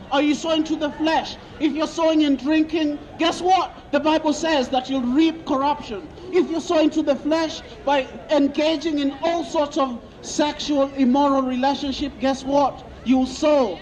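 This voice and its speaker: A man's voice: very high-pitched, darkish tone. A man from Kenya preaching outdoors in a busy city square, repeating questions to passers-by and quoting scripture. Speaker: street preacher. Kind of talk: open-air street preaching. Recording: lo-fi outdoor recording with crowd noise.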